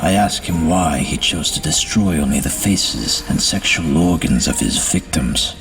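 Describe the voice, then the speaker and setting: A somber male psychiatrist of the 1920s played by a man recounts his journal as audio drama narration. His voice is extremely deep and measured, haunted and solemn, over clear background music. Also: a bit bright, exaggerated voice.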